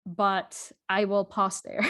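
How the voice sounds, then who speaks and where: A woman's voice: medium pitch, flat delivery, fairly bright tone. A woman who grew up in Singapore speaks as a podcast guest in casual conversation. She works through her ideas aloud, stopping and restarting often. Small laughs run through her talk. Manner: thoughtful, halting, many restarts and fillers, laughs often.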